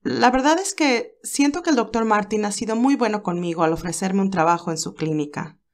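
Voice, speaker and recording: A woman voicing a cheerful but anxious young nurse who talks in a lively, hesitant way. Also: medium pitch, brisk, close to an everyday voice. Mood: worried.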